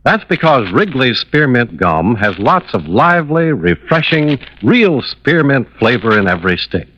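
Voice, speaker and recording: A man's voice: mid-range voice, sing-song, unhurried, a bit bright. A man announcing on 1950s radio, delivering sponsor copy and credits with polished, emphatic salesmanship. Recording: dull, slightly noisy old radio recording.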